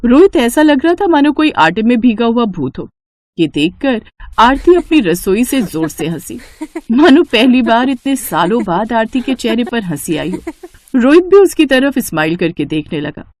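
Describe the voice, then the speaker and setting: A woman's voice: on the high side, sing-song, moderate pace, darkish tone, dry recording. A woman reading a scripted story as narrator.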